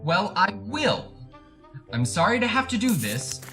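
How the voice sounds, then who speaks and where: A man's voice: sits high, sing-song, unhurried, bright, forceful tone, faint music behind, full character voice. A man playing a sinister husband with menacing politeness and false affection, in theatrical 1940s radio drama style.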